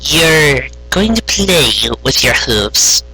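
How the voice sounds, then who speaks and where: A man's voice: on the high side, big pitch swings, takes it slowly, pushed and bright, slightly rough, pitched above the performer's own voice, heavily acted, poor audio. A man voicing a grumpy, imperious young female unicorn magician in a haughty, insistent manner for a story reading.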